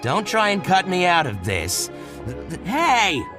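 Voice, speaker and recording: Sly merchant, haggly and chatty, voice jumping around a lot. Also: male voice, high-pitched, wildly swooping pitch, measured pace, exaggerated voice. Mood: scheming. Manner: haggling, scheming, talkative. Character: shrewd adult male boutique merchant. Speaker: merchant character. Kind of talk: video game voice acting. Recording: game music bed under the voice.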